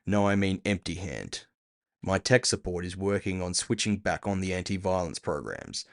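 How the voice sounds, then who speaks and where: A man playing a gruff, impatient cyberpunk bounty hunter, terse and sardonic, in an audio drama. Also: deep voice, animated, normal speed. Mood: businesslike.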